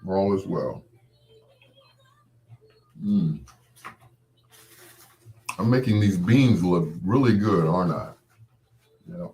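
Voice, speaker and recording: A man's voice: low voice, animated, takes it slowly, long pauses, mellow, rough recording. A man hosting a show solo, rambling casually to his listeners in a folksy, playful, anecdotal way. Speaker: host of a late-night show.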